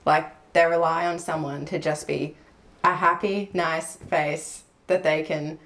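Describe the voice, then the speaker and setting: Relaxed young woman, chatty podcast guest. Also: deep voice, measured pace. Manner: laughs easily, relaxed and chatty. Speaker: guest, film crew camera assistant. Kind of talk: casual podcast conversation. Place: home room with warm lamp, indoors. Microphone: large podcast mic on boom arm.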